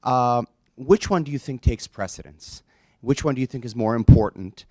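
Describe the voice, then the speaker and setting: A man's voice: medium pitch, moderate pace, dark tone, no echo. A man in the audience asking the panel a question in a formal, polite way.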